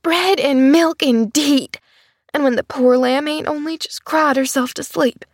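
A woman's voice: sits high, unhurried, no room sound, clean studio sound, pitched above the performer's own voice. A woman voicing a fretful, loyal young serving maid in an audiobook, with exclamatory, ungrammatical rustic speech. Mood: indignant muttering. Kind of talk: audiobook character voice.

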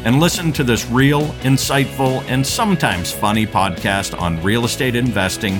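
Man announcer, mid pitch, scripted podcast intro, guitar music behind. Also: moderate pace. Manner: smooth announcer delivery with lifted emphasis.

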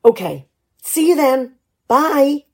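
A woman playing a nagging, exasperated, sharp-tongued middle-aged wife in a radio play. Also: high voice, very animated, slow, exaggerated voice. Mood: guarded. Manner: exasperated, sarcastic scolding.

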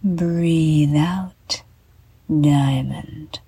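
A woman guiding a children's bedtime meditation in a soft, breathy half-whisper, gently and soothingly, with deliberate pauses. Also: low voice, dry recording. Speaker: meditation guide and show host.

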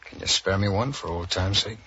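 A man's voice: sits low, fairly quick, gravelly, bright, forceful tone, breathy edge, full character voice. An American actor playing a down-on-his-luck ex-convict in a 1940s radio drama, coaxing an old pal in a hesitant, evasive way. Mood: guarded, friendly. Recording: dull vintage radio recording.

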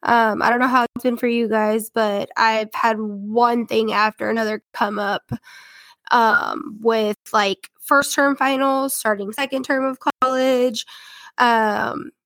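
A young woman hosts her true-crime podcast in a loose, rambling way, full of fillers and asides. A head cold leaves her voice stuffy and congested. Her voice sits high, and the sound is dry and close. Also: flat delivery, takes it slowly, a bit bright, no room sound. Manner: chatty, rambling, filler-heavy. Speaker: podcast host.

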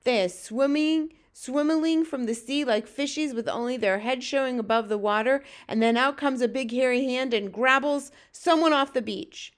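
A woman's voice: high voice, normal speed, hardly any pauses, pitched above the performer's own voice, natural voice. A woman voicing a kindly, flustered male giant from a children's storybook, with folksy garbled grammar and made-up words. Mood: explaining, earnest.

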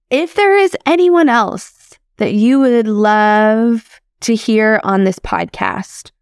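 A woman in her thirties hosts her own podcast and talks through personal reflections. She speaks in a chatty, upbeat way with casual fillers and an unhurried pace. The sound is dry and close to the mic. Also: medium pitch, gravelly, dry recording. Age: 30s. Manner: chatty and upbeat, with frequent "like" and "crazy". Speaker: podcast host.

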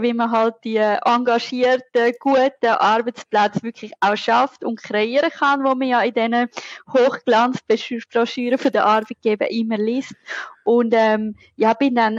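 A woman's voice: fairly high pitch, no room sound, muffled recording. A woman from Zurich with a Zurich Swiss German accent, answering conversationally as a podcast guest who researches and teaches. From Zurich, Switzerland.

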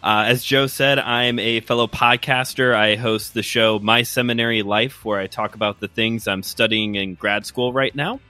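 A man's voice: medium pitch, fairly even intonation, moderate pace, few pauses, sharp tone, no echo. A man in his twenties with a Chicago accent, telling a friendly, rambling story as a guest host on a podcast. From Chicago area, US Midwest. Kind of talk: casual podcast chat.

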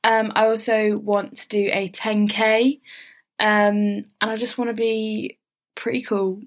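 Young woman, mid-pitched voice, upbeat, matter-of-fact, podcast co-host. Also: level tone, slow pace. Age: young adult. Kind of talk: casual chat.

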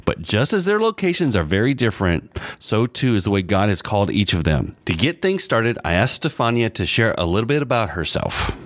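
Male podcast host reading a scripted intro with a lively, low voice. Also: a little fast, hardly any pauses, no echo. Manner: reads prepared narration smoothly.

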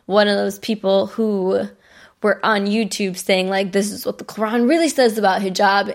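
A woman's voice: mid-range voice, vocal fry. A young American woman hosting a podcast, telling a personal story in a bubbly, chatty way with frequent laughs. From United States. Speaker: podcast host.

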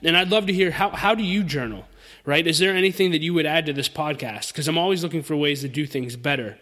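A man's voice: a little high, fast talker, pushed and bright, in a large hall. A thirty-year-old man hosting a solo podcast, speaking informally and earnestly to listeners in an encouraging, conversational lesson style. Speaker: podcast host.

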